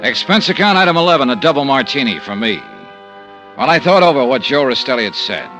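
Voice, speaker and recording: A man's voice: medium pitch, expressive, quick pace, bright, forceful tone. An actor playing a wry, hard-boiled male insurance investigator who narrates and questions suspects in a 1950s radio drama. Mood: dry narration. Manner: wry, clipped detective narration. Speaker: lead narrator. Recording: old radio recording with music bed.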